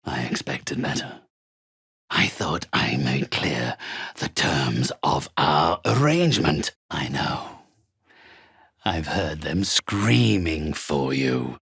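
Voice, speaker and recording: An actor playing a haughty, theatrical undead necromancer in a video game, speaking with pompous, condescending, ornate phrasing. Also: male voice, extremely low pitch, big pitch swings, slow, vocal fry, fairly bright tone, husky, dry recording, clean studio sound, exaggerated voice. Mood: disdainful. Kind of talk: video game character acting.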